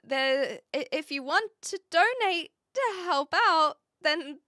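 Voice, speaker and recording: A woman's voice: high voice, expressive, slow, pushed and bright, dry recording, clean studio sound. A woman from New Zealand streaming live and chatting casually with viewers, rambling a little with restarts and fillers.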